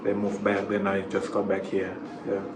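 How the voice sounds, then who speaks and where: A man from Thailand, a native Thai speaker with a Thai accent, chatting casually as an interview guest in a halting way that repeats words. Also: deep voice, completely flat delivery. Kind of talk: casual chat. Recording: echoey room with clear background noise.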